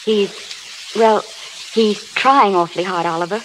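A woman plays a tender, anxious young actress in an old radio play. She speaks earnestly at a medium pitch. The recording is crackly and noisy, with long reverb. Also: measured pace, lightly acted. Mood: tender, worried. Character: sweet, anxious young actress devoted to troubled husband. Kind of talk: 1930s radio acting.

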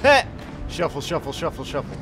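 A man's voice: fairly high pitch, big pitch swings, fairly quick. A man with a head cold giving casual, chatty commentary while playing a game, cheering his characters on. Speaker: gaming channel host. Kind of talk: casual let's-play commentary. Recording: voice over game music.

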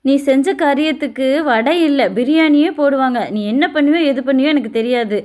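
Woman acting a harsh, high-pitched scolding stepmother. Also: brisk, a little mellow, close to an everyday voice. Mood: angry, commanding. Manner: harsh, nagging, bossy. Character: cruel, scolding stepmother, middle-aged village woman. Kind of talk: amateur Tamil family story drama.

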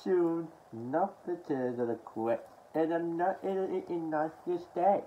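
A young adult man from Australia talking haltingly and with mumbled words in a casual show-and-tell to camera at home. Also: fairly high pitch. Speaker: collector showing his media haul. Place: small furnished room at home, indoors. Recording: dull lo-fi sound with background noise.

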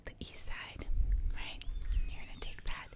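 A young woman voicing a casually menacing jungle giantess in a soft, chatty whispered ASMR roleplay, close to the microphone. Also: natural voice. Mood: matter-of-fact. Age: young adult. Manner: soft, slow, repetitive, chatty. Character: casually menacing female jungle giantess who eats people.

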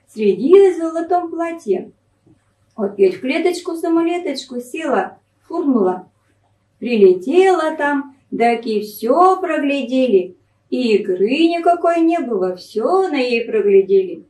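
A woman reads a folk tale in Northern Russian Pinega dialect. Her voice is high and swings widely in pitch. She is recorded from a distance in an echoey room. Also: a little mellow. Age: middle-aged. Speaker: library storyteller reading a folk tale. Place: small library room, indoors.

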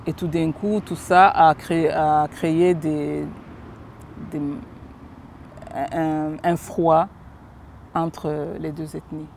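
A middle-aged woman from Rwanda tells her story, pausing often and searching for words. Her voice is dark and mellow, caught on a clip-on mic in a park, with faint traffic noise behind. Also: low voice, flat delivery, gravelly. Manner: hesitant, many pauses and fillers. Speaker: interviewee giving testimony. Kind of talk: personal testimony interview. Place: park lawn outdoors.

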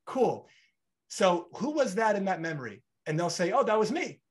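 Lively animated man on a video call. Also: high voice, normal speed. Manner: animated, gestures broadly, acts out both sides of dialogues. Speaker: podcast host. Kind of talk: conversational interview. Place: bright home room.

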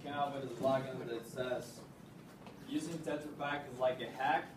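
A man's voice: medium pitch, fairly even intonation, moderate pace. A teenage boy presenting casually and playfully, recorded in a classroom from a distant camera. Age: teens. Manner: casual, playful, bursts into singing. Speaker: student presenter. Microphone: far camera. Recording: echoey classroom from far off, noisy.

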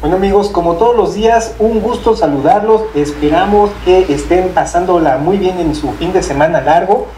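A man's voice: high-pitched, moderate pace, few pauses, echoey room, rough recording. A man co-hosting a travel channel, giving a friendly, conversational explanation to viewers. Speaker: travel YouTuber co-host. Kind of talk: casual explainer.

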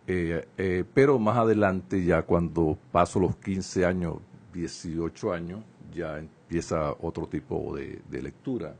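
A middle-aged Venezuelan man answering as a guest writer in a radio interview, speaking haltingly and repeating phrases. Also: low voice. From eastern Venezuela. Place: small radio studio, indoors. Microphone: studio microphone on table. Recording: clear background noise, live webcast.

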